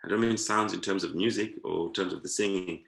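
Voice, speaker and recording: A man's voice: low-pitched, steady tone, fast talker, gravelly, fairly bright tone, roomy sound. A man from Zimbabwe, a guest talking in a reflective conversation, thoughtful and repeating words to savor them. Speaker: guest, performing artist.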